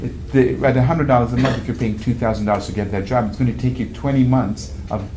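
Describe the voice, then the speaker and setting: A man's voice: medium pitch, steady tone, quick pace. A man speaking on a panel, conversational and anecdotal, often restarting his sentences. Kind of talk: panel talk. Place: event venue. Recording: noisy live event recording.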